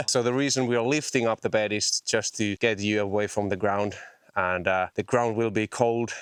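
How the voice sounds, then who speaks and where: A man chatting casually as he teaches a beginner bushcraft in a forest, friendly and relaxed as he works. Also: fairly low pitch, normal speed, clean tone, no room sound, studio quality. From Finland. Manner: relaxed, friendly, explains as he builds. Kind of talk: casual instructional chat while working. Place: outdoors in a forest. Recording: outdoor noise and clicks.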